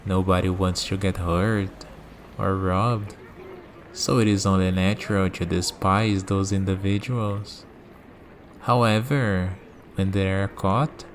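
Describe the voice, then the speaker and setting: Slow, low-voiced calm villain played by a man. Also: slight background noise. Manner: deliberate, measured, with dramatic pauses. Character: calm, cynical male villain wielding godlike power. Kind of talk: ASMR roleplay acting.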